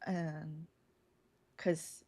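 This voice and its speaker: Lower-voiced woman brainstorming aloud. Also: little pitch movement, drawn-out words, mellow, no room sound. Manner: thinking aloud, many fillers, laughs easily. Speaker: podcast co-host. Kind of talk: casual live podcast brainstorm.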